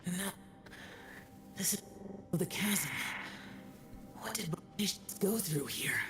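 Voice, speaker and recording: A woman's voice: medium pitch, slow, lightly acted. A woman voicing a brooding, aloof immortal warrior youth in a fantasy video game, terse, grave and guarded. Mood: troubled. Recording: game music behind the voice.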